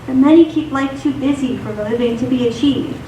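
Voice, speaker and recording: A woman reading scripted poetry and stories in a measured, recited delivery for radio theatre. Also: sits high, warm tone. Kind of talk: scripted poetry and story narration. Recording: distant echoey stage recording, noisy.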